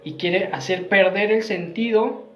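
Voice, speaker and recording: A man's voice: sits high, unhurried, soft background music. A man giving an earnest Bible teaching sermon, repeating his phrases and often ending with tag questions. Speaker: Messianic preacher. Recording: roomy, dull lo-fi sound.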